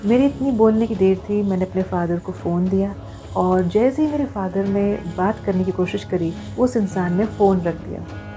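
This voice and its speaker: A woman's voice: mid-range voice, normal speed, dark tone, faint music behind, low-quality recording. A woman talking to viewers in an earnest, explanatory vlog style, mixing in English phrases. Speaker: vlogger.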